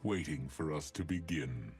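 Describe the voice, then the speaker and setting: An actor playing a theatrical, pompous and coldly cruel assassin obsessed with art, delivered grandly as video game voice lines. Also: male voice, rumbling low, normal speed, darkish tone, slightly rough, exaggerated voice. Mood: hushed menace. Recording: game clip with music underneath.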